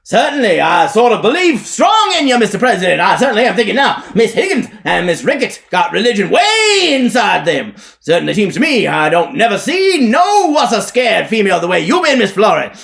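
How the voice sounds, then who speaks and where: A man voicing a harsh-voiced male revivalist preacher who hollers a brash sales pitch for salvation. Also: very animated, unhurried, a bit bright, full character voice. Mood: pleading.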